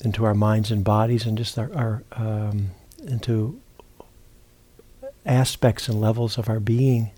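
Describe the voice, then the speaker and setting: A man teaching meditation, giving guided instruction in a halting, unhurried way with long pauses and repeated words. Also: on the low side, level tone, dark tone. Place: meditation retreat center.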